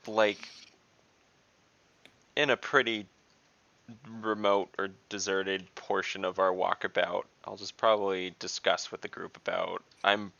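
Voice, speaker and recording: A man chatting casually as a player in a tabletop game, low-key, halting and matter-of-fact, over an online call. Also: flat delivery, gravelly, sharp tone, no room sound, dull audio.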